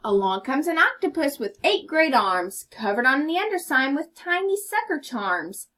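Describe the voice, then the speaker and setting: A woman's voice: high-pitched, very animated, moderate pace, a bit bright. A woman reading a children's story aloud and teaching as she goes, explaining words and asking questions. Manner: instructive, inviting listeners to think.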